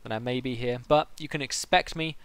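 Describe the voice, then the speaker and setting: Creaky-voiced man hosting a livestream. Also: mid-range voice, brisk, a bit bright, no room sound. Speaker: live stream host and interviewer. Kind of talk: casual livestream interview chat.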